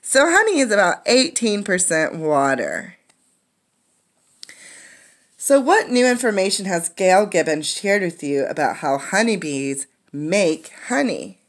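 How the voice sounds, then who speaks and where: A woman's voice: medium pitch, big pitch swings, a little slow. A woman teacher reading a children's nonfiction book aloud, adding explanatory asides for young listeners.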